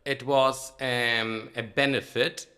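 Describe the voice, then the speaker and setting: A middle-aged man with a German accent, a lawyer talking as a guest in an interview with many pauses and fillers. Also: mid-range voice, little pitch movement, bright, forceful tone. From Galicia, Spain, raised in Germany. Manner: hesitant, many ums and uhs. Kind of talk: casual interview talk.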